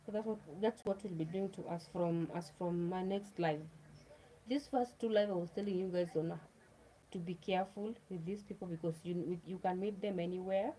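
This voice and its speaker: Mellow-voiced Kenyan woman chatting on a livestream. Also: fairly low pitch, moderate pace, rough recording. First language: Luo. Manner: chatty, repeats herself, talks straight to viewers. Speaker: livestream host. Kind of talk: casual livestream chat.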